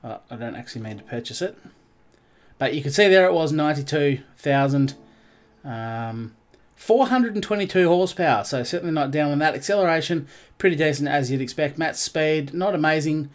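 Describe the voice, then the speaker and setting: A man's voice: a little high, lively intonation, fairly quick. A man commentating casually while he streams a racing game, stuffed up and nasal, rambling and greeting his viewers as he goes. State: congested, nasal.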